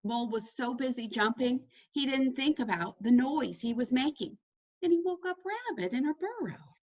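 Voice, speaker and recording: A woman reads a picture book aloud like a dramatic storyteller. Her husky voice moves widely and expressively, and the recording is slightly dull and lo-fi. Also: sits high, animated, moderate pace, darkish tone.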